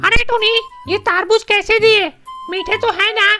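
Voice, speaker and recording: A small, demanding cartoon bird. The voice is squeaky and childlike, extremely high and bright, with music underneath. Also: female voice, fairly even intonation, measured pace, full character voice. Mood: chirpy.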